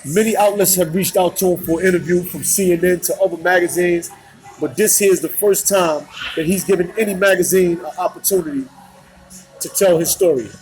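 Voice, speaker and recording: A male interviewer with a slightly raised pitch speaks in a measured, deliberate way, setting up the story and then putting his questions. Faint music runs under his voice. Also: darkish tone, rough recording. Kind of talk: magazine interview with scripted intro.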